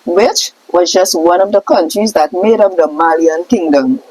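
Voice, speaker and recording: A woman reading a scripted question-and-answer lesson aloud, setting out names and lists deliberately. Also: on the low side, darkish tone, slightly rough, dry recording, low-quality recording. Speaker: reader of a scroll text.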